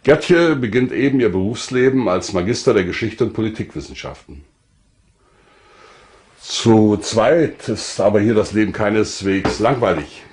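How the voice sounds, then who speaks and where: An older man giving a measured, composed personal account of his life. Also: on the low side, animated, a little mellow.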